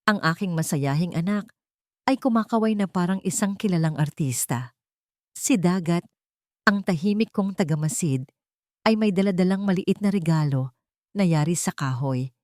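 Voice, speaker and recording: A woman reading a scripted first-person love story as narrator, in a measured, sentimental storytelling manner. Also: fairly low pitch, darkish tone, no room sound, clean studio sound.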